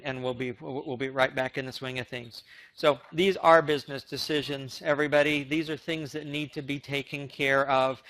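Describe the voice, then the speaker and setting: Halting man with a flat, even delivery. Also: medium pitch. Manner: halting, with repeated words and stammered restarts. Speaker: company representative hosting a conference call. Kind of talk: informal business update to members.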